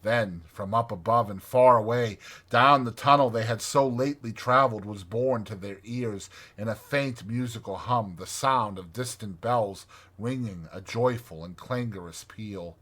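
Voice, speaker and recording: A man narrating a classic storybook as a dramatized audiobook, in a measured, expressive manner. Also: lowish voice.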